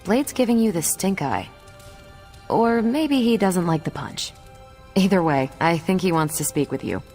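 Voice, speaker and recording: A woman's voice: on the low side, animated, measured pace, mellow, soft background music. A woman playing a seasoned, breezy heroine in a video game, with a casual, teasing, dry delivery. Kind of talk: video game cutscene acting.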